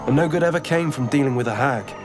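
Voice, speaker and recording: A man's voice: medium pitch, fast talker, over music, lightly acted. A man playing an anxious, timid country brother in a video game, hesitant and pleading, with a British accent. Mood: worried. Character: anxious, timid rustic younger brother, worried sick. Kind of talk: video game character acting.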